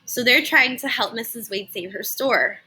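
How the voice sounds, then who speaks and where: Bright-voiced woman reading to young children. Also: on the high side, moderate pace, slightly rough. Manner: animated, simple explanations addressed to young children. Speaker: teacher reading a picture book aloud. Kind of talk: expressive children's read-aloud with explanatory asides.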